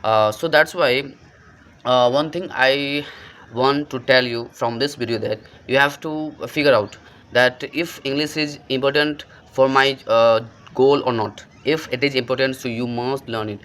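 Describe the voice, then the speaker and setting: A man's voice: medium pitch, unhurried, fairly bright tone, smooth. Give me an earnest young man with an Indian accent, rambling through motivational advice in a mid-range voice. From rural India. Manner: earnest, loose and rambling, calls the viewer bro. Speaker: English-learning vlogger. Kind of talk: motivational talk to camera.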